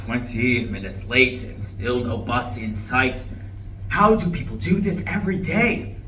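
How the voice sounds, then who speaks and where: Echoey stage reading: male actor as irritable, heartbroken divorcé, forceful and highly animated. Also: measured pace. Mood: apologetic. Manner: faltering, sighing, swinging into bitter outbursts. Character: distraught, irritable, newly divorced man at a bus stop. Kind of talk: live stage play reading. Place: indoor room at a live reading. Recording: echoey room, distant mic, faint noise.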